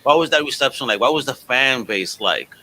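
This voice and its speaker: Man, bright voice, podcast host, informal, agreeable, casual chat, noisy background. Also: medium pitch, lively intonation, brisk.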